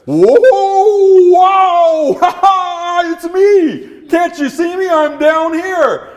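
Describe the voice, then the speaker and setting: An eager, easily indignant little dog leader acted out by a male storyteller. The voice is pitched very high, far above a normal man's talk, and pushes out loudly in excited bursts. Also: drawn-out words, heavily acted. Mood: eager, announcing. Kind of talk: animated oral storytelling character.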